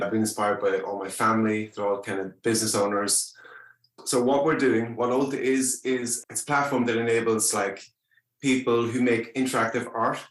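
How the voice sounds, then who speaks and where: Middle-aged man, medium pitch, casual founder pitch, roomy echo. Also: fairly bright tone. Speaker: startup co-founder. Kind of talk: casual self-introduction pitch. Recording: echoey room.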